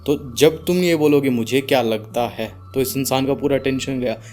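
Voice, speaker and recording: A young man with an Indian accent and a deep voice gives informal speaking advice calmly, without strain, mixing in English words and leaving deliberate pauses. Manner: calm, unforced, deliberate pauses; mixes English words into speech. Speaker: speaking coach. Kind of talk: informal advice talk to camera.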